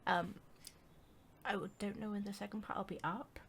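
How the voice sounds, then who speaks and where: A woman's voice: mid-range voice, fairly even intonation, normal speed, creaky, a bit bright, slight background noise. A woman giving casual let's-play commentary as host of a gaming video.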